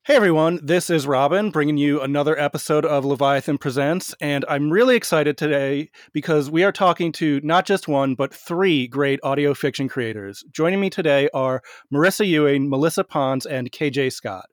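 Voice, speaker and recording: A man's voice: fairly high pitch, normal speed, hardly any pauses, no echo, studio quality. A man hosting a podcast, introducing guests and asking questions in a friendly, structured interview style.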